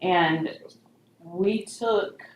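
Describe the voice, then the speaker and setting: A woman's voice: lowish voice, drawn-out words. A woman on village staff, explaining things conversationally at an informal meeting. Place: meeting room. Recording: echoey meeting room, faint noise.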